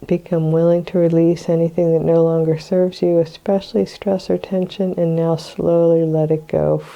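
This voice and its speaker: Hoarse older woman, slow and soothing. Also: lowish voice, little pitch movement, mellow, dull audio. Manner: slow, soothing, with throat clearing. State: hoarse from a cold. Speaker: meditation guide. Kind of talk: guided meditation.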